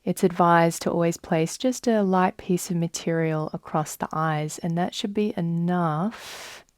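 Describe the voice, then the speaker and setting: A woman leading a guided meditation in a soft, soothing manner, with long unhurried pauses. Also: lowish voice, fairly even intonation, dry recording, clean studio sound. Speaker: yoga nidra guide.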